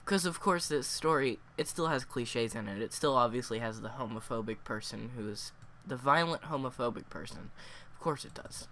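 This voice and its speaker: A man with a cold, sniffling and hoarse, reviewing a story in a casual, rambling way full of fillers. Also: mid-range voice, moderate pace, hardly any pauses, bright, forceful tone, slight background noise. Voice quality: hoarse, worn voice.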